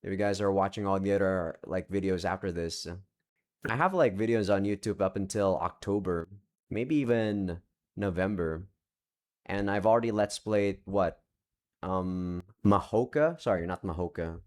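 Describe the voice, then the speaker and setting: A man's voice: low-pitched, normal speed. A young man talking casually to his viewers from his room at home, rambling, cutting himself off and swearing lightly while he searches his game library. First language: not Japanese. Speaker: visual novel let's play creator. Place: home room with several monitors.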